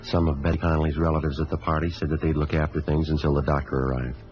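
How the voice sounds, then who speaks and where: A dull vintage radio recording of a very deep-voiced police sergeant. He is calm and weary, talking quickly in clipped, matter-of-fact phrases, as in a 1950s detective drama. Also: soft background music. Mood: flat, businesslike. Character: calm, weary male police sergeant narrating his case.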